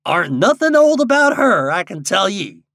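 A man's voice: sits high, sing-song, normal speed, vocal fry, fairly bright tone, no room sound, clean studio sound, pitched above the performer's own voice, full character voice. A man voicing a sly old American backwoods guide with a folksy rustic dialect in a storybook reading. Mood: sly, talkative.

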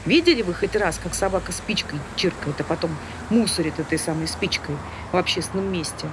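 Actress as a prim, scolding dog owner. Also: female voice, lowish voice, brisk, lightly acted. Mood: preachy. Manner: indignant, didactic, formal. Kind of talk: naturalistic stage dialogue. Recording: noisy ambient background with street sounds.

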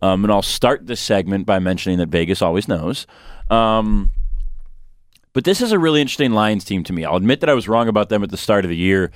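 Smooth, low-voiced young male sports host. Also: lively intonation, fast, dry recording, studio quality. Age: young adult. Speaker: sports talk show host. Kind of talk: casual sports radio talk.

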